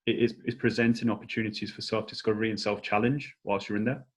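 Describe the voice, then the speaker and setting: A man's voice: fairly low pitch, level tone, fast, slightly rough. A man hosting a discussion in a casual, conversational way, feeling his way informally through questions. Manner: informal, tentative, peppered with "like". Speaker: discussion host.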